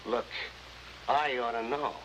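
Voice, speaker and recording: A man's voice: mid-range voice, fairly even intonation, a little slow, natural voice. An American actor playing a weary, defiant jailed man, curt and defensive in a 1950s crime drama. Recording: noisy, hissy old 1950s drama soundtrack.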